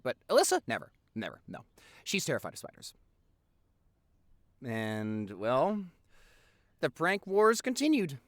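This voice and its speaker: A man's voice: fairly high pitch, no room sound, studio quality. A young man playing a playful, affectionate boyfriend who rambles chattily and teasingly in an intimate audio roleplay. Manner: teasing, animated, chatty, expressive.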